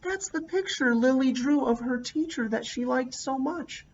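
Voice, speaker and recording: A man's voice: very high voice, normal speed, slight background noise. Man reading a picture book aloud. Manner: expressive, asks listeners questions. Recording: dull lo-fi recording.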